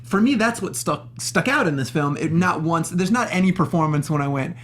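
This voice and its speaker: A man co-hosting a panel show, rambling in slangy, animated casual chat. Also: a little high, a little fast. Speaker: co-host of a film review show.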